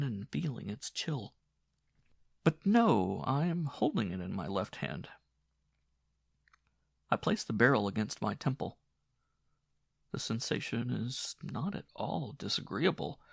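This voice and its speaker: A man's voice: mid-range voice, vocal fry, husky, no echo, studio quality, heavily acted. A man playing a brooding, morbidly fascinated narrator in a horror audiobook monologue, intense and musing. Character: brooding man fascinated by his own suicide. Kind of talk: dramatic first-person horror monologue.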